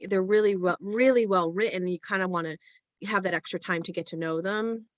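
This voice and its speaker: A woman's voice: mid-range voice, fairly quick, no room sound. Woman giving informal feedback, dry sound. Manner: informal, hesitant phrasing with frequent "like". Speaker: script reading panelist. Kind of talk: casual feedback discussion.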